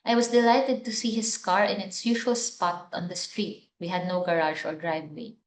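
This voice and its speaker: A woman's voice: on the low side, steady tone, normal speed. A woman writer, reading her own prepared literary text aloud. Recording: echoey room sound.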